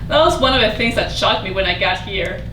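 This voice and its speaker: A woman's voice: medium pitch, animated, a little fast, lightly acted. A woman acting in a staged play reading as a proud, prickly hotel housekeeper from Mexico, with a wry, conversational manner. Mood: candid, explaining. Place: indoor staged reading before an audience. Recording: roomy, distant mic, noisy live stage.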